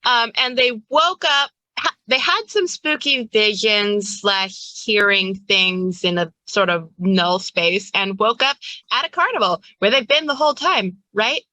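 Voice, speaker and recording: A woman's voice: medium pitch, unhurried, bright, forceful tone, no room sound, poor audio. A woman game master giving a casual, playful and wryly hinting recap of a tabletop game on a livestream.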